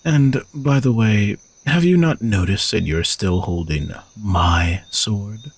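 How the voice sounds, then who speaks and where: Man acting low, gravelly arrogant knight. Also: takes it slowly, exaggerated voice. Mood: teasing, smug. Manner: teasing, dry, exasperated sighs, condescending. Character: arrogant sardonic male knight turned reluctant royal bodyguard. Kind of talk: romantic audio roleplay addressed to the listener.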